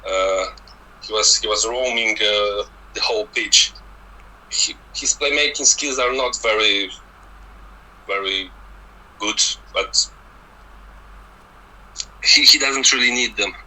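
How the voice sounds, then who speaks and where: A man's voice: lowish voice, slow pace, pauses often, bright, forceful tone, rough recording. A man with a Polish accent, a native Polish speaker, giving casual football analysis as a scouting guest and often stammering over repeated words. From Poland.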